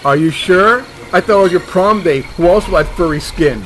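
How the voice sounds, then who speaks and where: A man's voice: high voice, a little fast, fairly bright tone, soft background music. A man playing a cocky, dismissive and stubborn monster hunter with a sarcastic manner.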